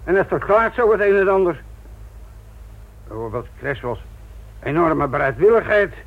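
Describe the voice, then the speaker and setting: An actor playing a sardonic, gruff older police instructor in a Dutch radio play, patronising and deliberate in manner. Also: male voice, fairly high pitch, very animated. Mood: rueful, dry. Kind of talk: Dutch radio drama acting. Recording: thin, narrow-band old radio recording.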